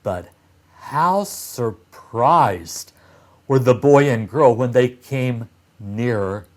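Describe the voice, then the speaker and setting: A man reading a fairy tale aloud for young children in deliberate phrases, with frequent pauses and expressive emphasis. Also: mid-range voice.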